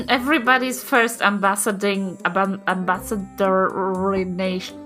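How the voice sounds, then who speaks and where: Female player, New Zealand accent. Slow, casual improvised role-play, faint music behind. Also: medium pitch, fairly even intonation, fairly bright tone. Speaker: tabletop game player.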